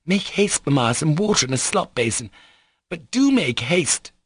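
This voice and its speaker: A man reading an audiobook, voicing an imperious, excitable teenage girl who is bossy, urgent and exclamatory. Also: a little high, brisk, no echo, pitched above the performer's own voice. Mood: frantic, imperious.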